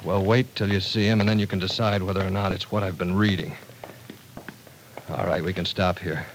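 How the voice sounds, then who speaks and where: An actor playing an earnest, watchful professor in an old radio drama, speaking in a precise, commanding, formal way. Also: male voice, low voice, steady tone, brisk. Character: urgent, earnest male professor, cautious and watchful. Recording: noisy old radio recording.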